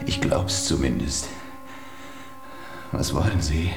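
Croaky, dazed prisoner. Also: male voice, a bass voice, exaggerated voice. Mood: dazed, wary. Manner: halting, dazed. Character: battered, dazed male prisoner, wary and worn out. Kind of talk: radio drama dialogue. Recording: echoey room, music behind.

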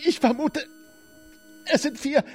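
An actor playing a frightened, broken prisoner under interrogation in a radio drama, answering haltingly and submissively. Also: male voice, extremely high pitch, darkish tone, faint music behind. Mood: desperate, anguished. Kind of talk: German radio drama acting.

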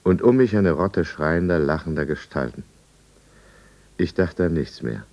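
Very deep, brooding confessional narrator. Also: male voice, expressive, darkish tone. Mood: confessional, tense. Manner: measured, brooding. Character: remorseful male drinker confessing his own downfall. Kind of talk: scripted first-person narration in 1950s German radio drama. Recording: dull, muffled 1950s radio recording.

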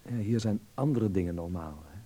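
A man's voice: low voice, expressive, normal speed, mellow. An actor playing a naive, uneasy young Dutchman abroad in a radio drama, hesitant and searching for words. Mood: embarrassed, flustered.

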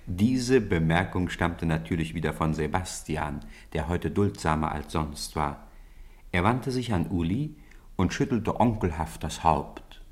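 Low-voiced man narrating a story. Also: lively intonation, unhurried. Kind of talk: scripted story narration for radio play.

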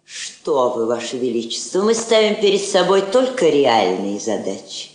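A woman's voice: rumbling low, very animated, darkish tone. An actress playing a dignified, self-possessed woman ambassador in a classic radio drama, formal and courteous. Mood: poised, diplomatic. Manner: formal, measured, courteous, poised. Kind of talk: classic Russian stage radio drama acting. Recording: very echoey old theatre hall recording.

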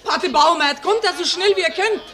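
A woman playing an excited villager bringing alarming news in a German radio drama, breathless and urgent. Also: very high voice, moderate pace. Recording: radio drama with crowd behind.

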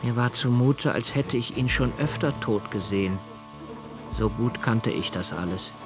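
An actor playing a melancholy, observant young man, narrating a literary radio drama in a measured, introspective way. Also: mid-range voice, music in the background, close to an everyday voice. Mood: subdued, melancholy. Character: melancholy, observant young man recalling his father's death.

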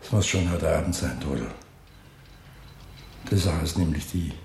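An actor playing a weary, guarded old working-class father in a radio drama, speaking plainly and heavily with a gravelly voice. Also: a bass voice, moderate pace, darkish tone. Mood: halting, heavy. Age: older. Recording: roomy, with noisy ambience behind.

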